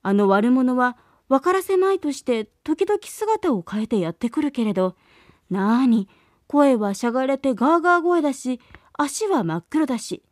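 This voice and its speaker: Brief: gentle storybook nanny goat, woman reader, admonishing, dry close mic. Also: high voice, animated, normal speed, no echo. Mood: warning. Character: caring mother goat warning her kids. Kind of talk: storybook reading.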